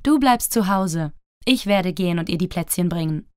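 A woman playing a caring, gently firm mother in a children's fairy tale, tender and protective. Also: on the low side, animated, moderate pace, no room sound, studio quality, close to an everyday voice.

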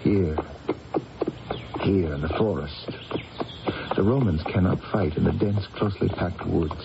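An actor playing a shrewd, seasoned warrior counsellor of ancient Britain, measured and persuasive, in radio drama style. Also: male voice, sits low, gravelly. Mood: urgent persuasion. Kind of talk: 1980s radio drama acting. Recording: dull, noisy old radio broadcast recording.

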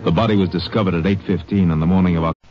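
An actor playing a sober Texas Ranger narrating a case file formally in a 1950s radio drama. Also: male voice, deep voice, fairly even intonation, very fast, close to an everyday voice. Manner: formal, procedural. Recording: dull old radio recording. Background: music.

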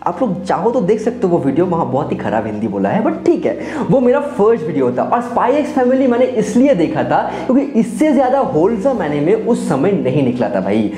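A man's voice: sits high, mellow. A young man giving casual, enthusiastic video commentary, often addressing his viewers directly like friends. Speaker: anime review YouTuber. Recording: roomy echo.

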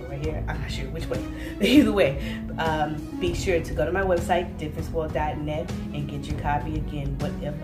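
Woman, 30s, low voice, relaxed chatty vlog host; roomy sound, loud music bed. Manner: chatty and laid-back, with frequent fillers and laughs. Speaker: vlogger and host. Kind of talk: casual to-camera vlog. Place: indoors in front of a virtual news-set backdrop.

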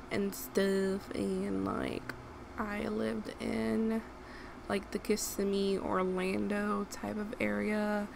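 A woman's voice: medium pitch, vocal fry. A young woman from Rico, chatting casually over gameplay and scolding the characters on screen. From Puerto Rico. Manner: chatty, talks to the game characters, bursts of outrage. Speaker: gaming YouTuber. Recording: noisy home recording.

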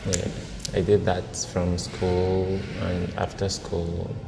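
A Nigerian man in his thirties on a blind date, talking in a hesitant, halting way with many restarts. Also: deep voice, completely flat delivery, creaky, big-room sound, noisy background. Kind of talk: casual conversation. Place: indoor restaurant with large windows. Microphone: lapel mic.

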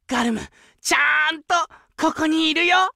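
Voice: high voice, unhurried, creaky, pushed and bright, no echo, studio quality, full character voice. An actor playing an eager, childlike, fiercely loyal wolf guard dog in an anime game, speaking in simple, exclaimed phrases. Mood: concerned. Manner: simple broken childlike speech, exclaiming, needy for praise. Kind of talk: anime game character voice lines.

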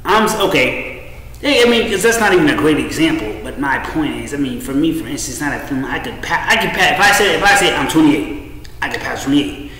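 A man's voice: fairly high pitch, expressive, fast talker, pushed and bright. A man in his thirties rambling casually to a webcam at home, loose and profane, repeating himself and trailing off. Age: 30s. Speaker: vlogger. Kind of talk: rambling casual monologue to camera. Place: small room indoors at home. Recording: echoey room with faint noise.

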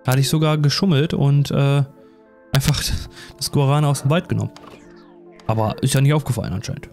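A man's voice: medium pitch, steady tone, brisk, darkish tone, faint music behind. A man doing casual, rambling let's play commentary in a self-deprecating, chatty way. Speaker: gaming YouTuber.

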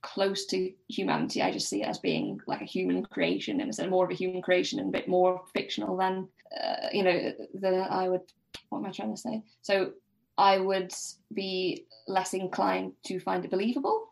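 A woman's voice: lowish voice, moderate pace, gravelly, a little mellow, low-quality recording. A woman guest on a podcast discussing a book casually, thinking aloud in a hesitant way.

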